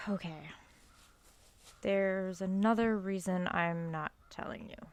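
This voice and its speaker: A woman's voice: medium pitch, slow, natural voice. A young woman playing an earnest, prim college girl in an audio drama, awkward and easily exasperated. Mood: reluctant, awkward. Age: young adult.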